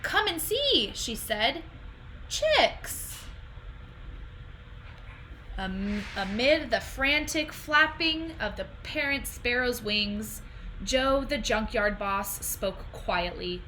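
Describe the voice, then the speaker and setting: A young woman reading a children's picture book aloud in an expressive, deliberate storytelling style, recorded at home on a computer microphone. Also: fairly high pitch, slight background noise, low-quality recording. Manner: animated, deliberate phrasing.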